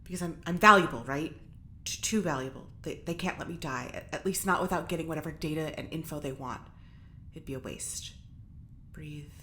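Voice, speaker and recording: A woman's voice: deep voice, fairly quick, fairly bright tone, slight background noise. An actress playing an agitated, defiant woman prisoner in space, stammering and talking to herself as she fights panic in an audio drama monologue. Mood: anxious, frantic, then panicked, self-soothing.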